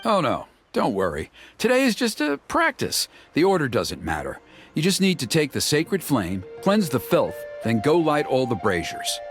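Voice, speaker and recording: Earnest, highly animated young tribesman. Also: normal speed. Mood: reassuring. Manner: explanatory, earnest. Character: earnest young tribesman explaining a sacred ceremony. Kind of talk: video game character voice acting. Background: music.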